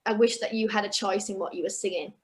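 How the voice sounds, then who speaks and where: A woman's voice: mid-range voice, fairly even intonation, fast talker, slight background noise. A young woman talking as a guest in a casual interview, chatty and often restarting her sentences. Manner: chatty, with frequent restarts and fillers. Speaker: guest singer and actress.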